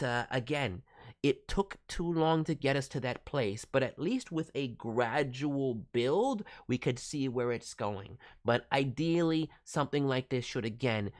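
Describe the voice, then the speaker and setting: A man hosting a casual livestream as a voice director, giving feedback in a playful way with cutesy turns of phrase. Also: medium pitch. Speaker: voice director, streamer host. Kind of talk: livestream critique of demo reels, casual.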